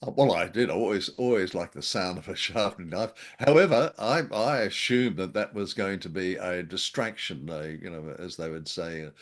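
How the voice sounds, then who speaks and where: A male podcast co-host in relaxed review conversation. His voice is on the low side, and he talks casually as a commentator. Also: moderate pace, hardly any pauses.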